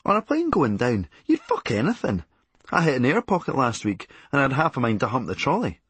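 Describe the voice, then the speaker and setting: A man's voice: medium pitch, huge pitch swings, fairly quick, fairly bright tone, husky, no room sound. A Scottish man narrating a comic memoir in a sardonic, darkly comic and blunt manner. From Scotland. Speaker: comedian narrating a memoir audiobook.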